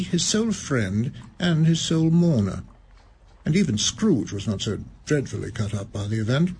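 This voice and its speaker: A man narrates a radio play at a middle pitch, measured and deliberate. He savors the words as he goes. A faint hiss sits under his voice. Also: darkish tone. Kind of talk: scripted dramatic narration.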